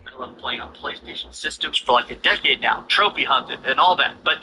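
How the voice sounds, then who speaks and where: A man's voice: medium pitch, brisk, distant mic, background noise. A man giving opinionated commentary on video games. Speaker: gaming commentator.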